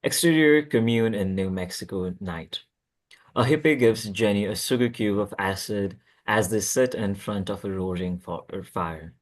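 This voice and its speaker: Low-voiced man reading stage directions. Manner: halting, stumbles and repeats words. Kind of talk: scripted narration at a table read.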